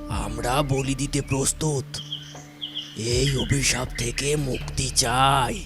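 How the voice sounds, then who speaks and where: A man playing a solemn, commanding village landlord in a horror audio drama, speaking deliberately. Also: mid-range voice, fairly even intonation, close to an everyday voice. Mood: grim resolve. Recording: music behind the voice.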